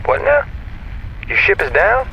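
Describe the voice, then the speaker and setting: A man's voice: sits high, huge pitch swings, unhurried, creaky, muffled recording, a little hiss or hum, heavily acted. An actor playing a friendly, chatty, self-assured male space comms operator in a sci-fi audio drama, with a folksy, easygoing and playful manner over a radio link. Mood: urgent, concerned.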